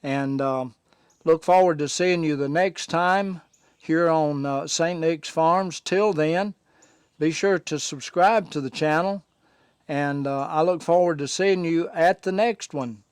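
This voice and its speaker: A hoarse middle-aged man talks to his viewers from a sawmill shed on his farm. His voice sits a little high and comes through smooth and clear despite the hoarseness. The sound is close and dry, and his talk is slow, with many pauses and fillers. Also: no room sound. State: hoarse, recovering from laryngitis. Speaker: farm vlog host. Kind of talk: casual vlog talk to camera.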